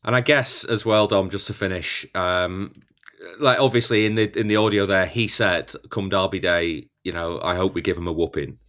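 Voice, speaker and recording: A man appearing as a guest fan on a football podcast, chatting in a relaxed way with plenty of fillers. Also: low-pitched, normal speed. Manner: relaxed, filler-heavy, agreeable. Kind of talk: casual chat.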